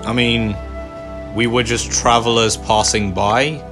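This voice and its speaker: A man's voice: medium pitch, level tone, slow. A man giving casual commentary as he plays through a video game. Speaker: gamer. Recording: game music behind the voice. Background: game music.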